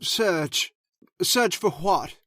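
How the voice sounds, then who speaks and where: A man's voice: sits high, expressive, drawn-out words, bright, forceful tone, no room sound, studio quality, exaggerated voice. A man playing a genteel village reverend, formal, polite and slightly hesitant, in an old-time radio drama style. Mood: mildly puzzled. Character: courteous, genteel English village reverend, mildly dismayed.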